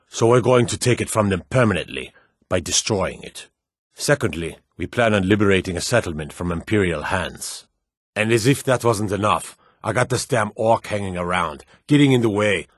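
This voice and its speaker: A brusque fantasy war officer with a lowish, slightly rough voice. He delivers scripted video game briefings in a terse, commanding, businesslike way. The sound is dry and close, with little room around it. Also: no echo. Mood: grim, contemptuous, then irritated.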